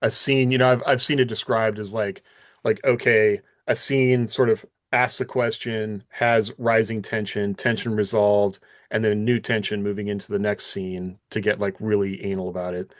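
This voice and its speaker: Low-voiced man hosting a podcast, dry close sound. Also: level tone, normal speed, no echo. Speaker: podcast host. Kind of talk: casual discussion and interviewing.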